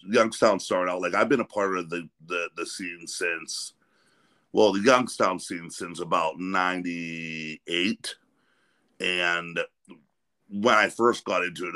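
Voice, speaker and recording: A middle-aged American man chatting casually as a podcast host, reflective and halting, repeating words as he thinks. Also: low-pitched, fairly even intonation, bright, forceful tone. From Pennsylvania, United States. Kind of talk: casual conversational interview.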